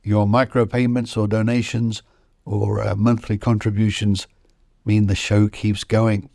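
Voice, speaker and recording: A man hosting a podcast, reading scripted material in a measured, theatrical way. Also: fairly low pitch, flat delivery, vocal fry, dark tone, no echo, clean studio sound. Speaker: podcast host. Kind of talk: scripted podcast narration, reading old newspaper pieces.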